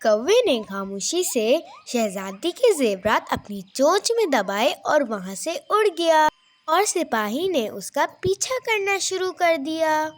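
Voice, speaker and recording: A woman tells a children's story in a very high voice. The intonation swings widely and the pace is slow and measured. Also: sing-song. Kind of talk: scripted children's story narration.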